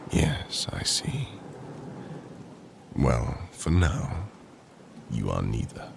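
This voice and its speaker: A man playing a proud, commanding and possessive conqueror king in a boyfriend roleplay audio, speaking in slow, formal, measured phrases with a deep gravelly voice. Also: huge pitch swings, background noise, full character voice. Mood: probing, softening.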